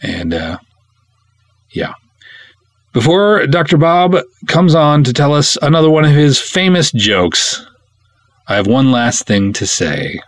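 A man's voice: a little high, very animated, takes it slowly, long pauses, no room sound. An American man from Minnesota with a playful, theatrical manner, reading and hosting expressively as a podcast host. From Minnesota, United States.

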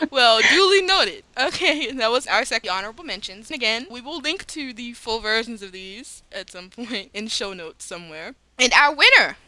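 Female podcast host, high and very bright voice, chatty and informal. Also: expressive, moderate pace, dry recording. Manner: chatty, informal, says "like" a lot. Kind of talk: casual chat.

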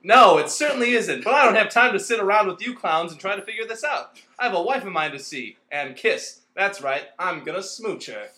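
A man's voice: high voice, expressive, fairly quick, few pauses, sharp tone. A man playing a cocky, eager vaudeville performer and husband in a mock old-time radio play, brash and hammy, recorded in an echoey room. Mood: excited, cocky.

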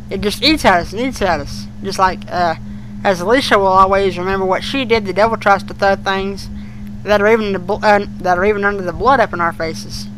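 A man co-hosting a podcast in casual chat, with a folksy, halting, colloquial way of talking. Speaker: podcast co-host. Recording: noisy recording with humming rumble.

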